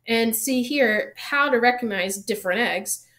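A big, bearded gamekeeper from a storybook, voiced by a woman reader. He is friendly and proud, open to flattery, and talks in a blunt, homely, informal way. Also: fairly high pitch, measured pace. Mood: excited, proud. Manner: folksy, informal, blurting. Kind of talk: audiobook character reading.

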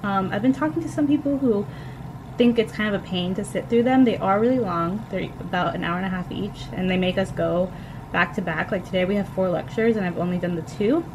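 A woman's voice: medium pitch, a little fast, noisy background. A young woman in her twenties giving a casual, upbeat vlog update to the camera from a small dorm room. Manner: upbeat, chatty, says "really" often. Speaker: vlogger, new English teacher.

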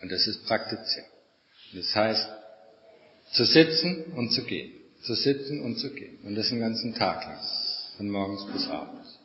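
A man's voice: lowish voice, lively intonation, normal speed, a little hiss or hum. A middle-aged man, a Buddhist monk, giving an informal dharma talk in a conversational way, repeating phrases for emphasis. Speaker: Buddhist monk and meditation teacher. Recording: echoey hall, long reverb, muffled sound.

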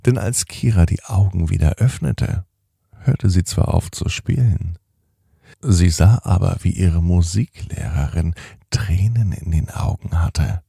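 A middle-aged man hosting a children's podcast, telling a bedtime story in a slow, soothing, gently animated way. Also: low voice, gravelly, breathy edge, no echo, clean studio sound.

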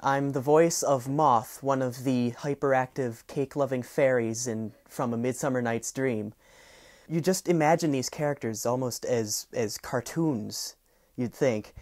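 Young male voice actor, average pitch, casual interview chat in a studio. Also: darkish tone. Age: young adult. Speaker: audiobook voice actor. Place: recording studio.

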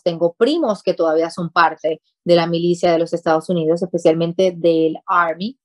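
Brief: Puerto Rican woman, 30s, talk-show guest, earnest and emphatic, lowish voice, dry close mic. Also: darkish tone, no echo. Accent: Puerto Rican Spanish. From Puerto Rico. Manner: earnest, speaks with emphasis and repetition. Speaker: interview guest, journalist. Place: small studio office set.

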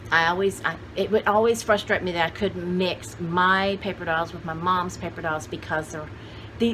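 A woman's voice: lowish voice, a bit bright. Chatty woman in her sixties, noisy recording. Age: 60s. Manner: chatty, rambling, thinking aloud. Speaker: vlogger showing vintage paper dolls. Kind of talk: casual chat to camera. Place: indoor room at home with cluttered shelves. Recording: clearly noisy home video sound.

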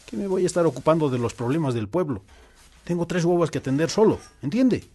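A man playing an irritable, defensive, stubborn father in a radio soap, with a gruff, brusque Bolivian manner of speech. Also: fairly high pitch, animated, fast, darkish tone. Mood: defensive, irritated.

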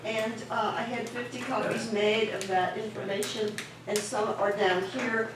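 A woman's voice: mid-range voice, fairly even intonation, a little slow. Older woman from Northern Kentucky, friendly and rambling, distant in an echoey hall with room noise. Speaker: first-time attendee. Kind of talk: informal remarks at a meeting. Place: large meeting hall indoors. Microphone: handheld mic, recorded from far camera.

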